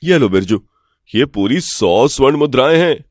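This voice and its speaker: A man voicing a gullible, greedy village priest in an animated folk tale, excitable and full of credulous questions. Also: medium pitch, moderate pace, no room sound, clean studio sound, natural voice. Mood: eager, confiding.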